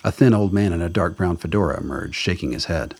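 Very deep-voiced male narrator. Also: animated, a little fast, a little mellow, breathy edge. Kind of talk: scripted narration of a radio drama.

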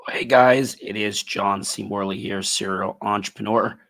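A man hosting a live tech talk show, his voice a little raspy and tired, rambling with many fillers and restarts. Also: on the low side, steady tone, a little slow, a bit bright.

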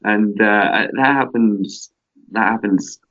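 Low-voiced Scottish man on a dull line. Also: takes it slowly, fairly bright tone. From Scotland. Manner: enthusiastic, rambling, lists at length. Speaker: parkrun Canada guest. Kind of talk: conversational podcast interview.

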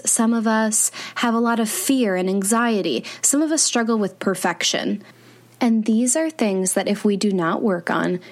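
A woman hosting a podcast, upbeat and friendly, opening the show and leading the interview. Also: medium pitch. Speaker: podcast host.

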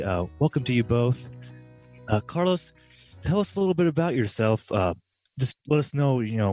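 A man's voice: mid-range voice, darkish tone. A young man from Middle Tennessee hosting a faith radio talk show, friendly and casual, with frequent "uh" fillers. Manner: friendly, fills pauses with "uh", laughs easily.

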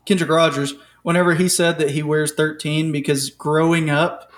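Thirties male co-host, fairly high pitch, easygoing and hesitant. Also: little pitch movement, normal speed. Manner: reminiscing, hesitant fillers. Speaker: podcast co-host. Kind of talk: casual sports chat.

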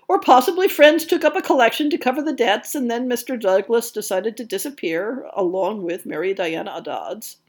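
Woman, podcast host, high voice, very animated intonation, scripted historical narration, articulate, wry asides.

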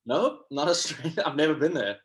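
A man with a husky voice chatting casually, playful and joking. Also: on the high side, expressive, fairly quick. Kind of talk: casual group chat.

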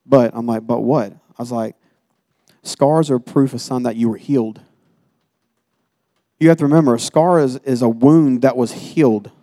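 A man's voice: medium pitch, moderate pace, long pauses, mellow. A man giving a sermon as personal testimony in an earnest, exhorting manner. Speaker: guest preacher giving a testimony.

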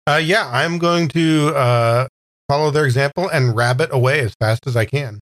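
A man playing a tabletop game, casually and haltingly describing what his character does. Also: medium pitch, a bit bright.

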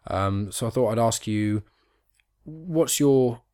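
A man's voice: mid-range voice, expressive, slow, dark tone, no room sound, clean studio sound. A young man hosting a casual chat podcast, rambling with lots of ums and sort-ofs, his nose blocked up from hay fever. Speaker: podcast host.

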